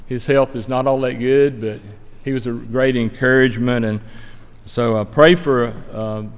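A man's voice: mid-range voice, steady tone, moderate pace, clear, resonant tone. A man with a Stokes County North Carolina accent giving a folksy, earnest mission trip report to a church, speaking plainly and conversationally over slides. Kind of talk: plainspoken slide-show presentation. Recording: long hall reverb.

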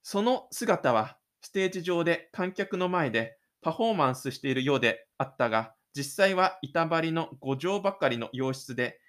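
A male narrator tells a story in an audio drama. His voice is a little higher than average, and he reads in a scripted, literary style. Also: fairly bright tone.

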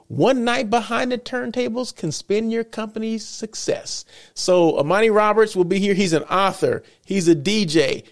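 Male host, stuffed-up, gravelly voice on the high side, loose chatty delivery with restarts. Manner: rambling, self-correcting, chatty. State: congested. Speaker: show host. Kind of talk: casual solo show talk.

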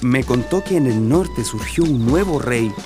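A man's voice: mid-range voice, expressive, a little slow, over music, lightly acted. An actor with a Chilean accent playing a kindly, reassuring farmer father in a family radio play. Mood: thoughtful.

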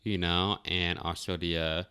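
A man's voice: sits low, level tone, unhurried, sharp tone, smooth, no echo, studio quality. A man in a casual podcast chat, talking in a slangy, informal way with plenty of swearing.

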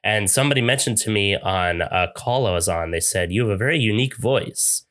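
A man hosting a podcast, talking in a relaxed, joking, steady way. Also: lowish voice, a little fast. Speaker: podcast host. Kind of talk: casual podcast conversation.

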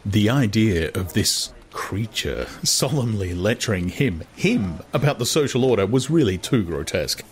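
A man's voice: fairly low pitch, expressive, normal speed, few pauses. A man narrating a literary novel as a dramatized audiobook. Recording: clear background noise.

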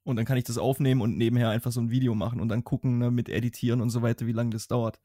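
A young man with a Swabian accent talks casually on a podcast, rambling along and often restarting his sentences. His voice sits at a middling pitch and is recorded dry and close, with no room sound. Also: level tone, very fast, dark tone, dry recording. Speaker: podcast co-host.

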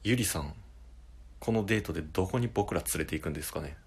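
A man's voice: low voice, fast, breathy edge. A man acting a cheeky, boastful junior contestant in an amateur radio drama, with casually deferential speech. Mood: earnest. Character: cheeky junior male rival on a dating show, eager and boastful.

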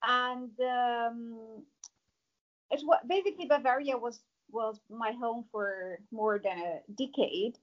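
A woman from Morocco, a mountaineer, answering interview questions in a measured way with fillers. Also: a little high, highs cut off. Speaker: mountaineer guest.

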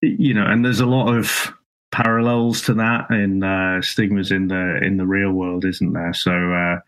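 A man co-hosting a casual film review talk, explaining things in a halting, conversational way with tag questions. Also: low voice, level tone, a bit bright. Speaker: film review podcast co-host.

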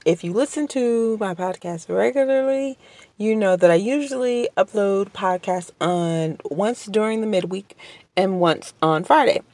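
A woman hosting a casual solo podcast from home, under the weather with a strained voice, talking slowly with many hesitations and apologies. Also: mid-range voice, darkish tone, no echo. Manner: slow, hesitant, many ums and restarts; polite and apologetic. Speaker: podcast host. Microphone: home podcast microphone.

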